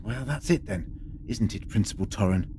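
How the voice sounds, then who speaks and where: An actor playing a sardonic, lecturing time-travelling adventurer in a sci-fi audio drama. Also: male voice, lowish voice, expressive, normal speed, background noise, close to an everyday voice. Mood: probing. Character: sardonic, quick-witted male time-travelling alien adventurer.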